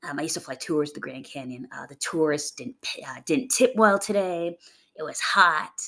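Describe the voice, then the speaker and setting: A young adult woman hosting a podcast, raspy from a chest cold, talking in a chatty, upbeat, rambling way. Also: moderate pace, pushed and bright. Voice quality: gravelly, low voice. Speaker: podcast host. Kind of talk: casual motivational monologue.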